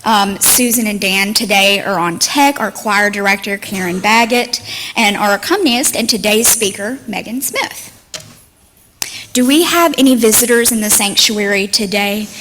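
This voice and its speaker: A woman's voice: medium pitch, fairly bright tone. A woman from Mississippi speaking as a worship leader, giving a welcoming address to a congregation. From Mississippi, United States. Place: church sanctuary with microphone. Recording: echoing hall, audible background noise.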